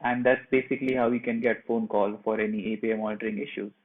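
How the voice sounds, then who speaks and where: A man presenting a software tutorial, narrating each step of a screen share in a plain, instructional way. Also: fairly low pitch, flat delivery, fast talker. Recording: muffled phone-like narrow band.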